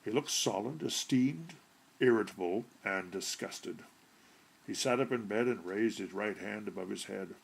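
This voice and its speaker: A man narrating a short story from a script with deliberate, theatrical delivery and a gravelly, husky voice. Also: fairly low pitch, low-quality recording. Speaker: audiobook narrator.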